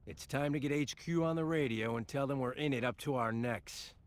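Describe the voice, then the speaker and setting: An actor playing a confident, decisive American colonel in a war video game, speaking bluntly. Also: male voice, medium pitch, a little fast, no echo, lightly acted. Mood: frustrated. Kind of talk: video game cutscene dialogue acting. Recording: music behind the dialogue.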